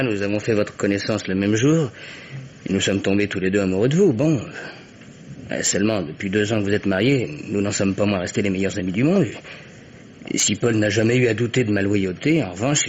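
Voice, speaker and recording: Concerned gentleman friend, played by a man, muffled vintage radio. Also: on the low side, fairly quick, lightly acted. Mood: earnest. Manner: formal address, hesitant, interjects often. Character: concerned, loyal male friend, reasoning and reassuring. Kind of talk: old French radio mystery acting. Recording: dull, noisy vintage radio recording.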